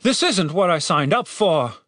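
Man performing fed-up guard captain, blunt, cynical, exasperated, pitched up, fantasy audiobook. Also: wildly swooping pitch, unhurried, a bit bright, dry recording, clean studio sound, full character voice. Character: fed-up, cynical male leader of dungeon guards.

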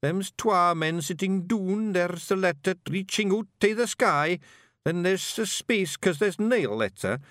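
A man voicing a small, blustering male fairy clan chief in a broad Scottish accent, gruff and boastful. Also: high-pitched, animated, fairly bright tone, dry recording, clean studio sound, pitched above the performer's own voice. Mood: laboured reading. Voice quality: gruff, boastful, quick to bristle.

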